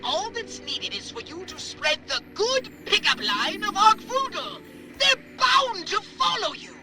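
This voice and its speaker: A man voicing a pompous, excitable alien commander in a video game, speaking in a grandiose, theatrical, wordy way. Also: very high-pitched, a little slow. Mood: excited. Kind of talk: video game cutscene acting.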